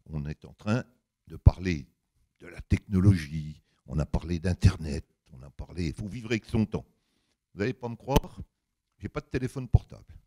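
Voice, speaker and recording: An older man with a big voice giving an anecdotal public talk, colloquial and emphatic, acting out bits of dialogue as he tells it. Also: moderate pace. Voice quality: big deep voice. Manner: animated storytelling, colloquial, emphatic, acts out reported dialogue.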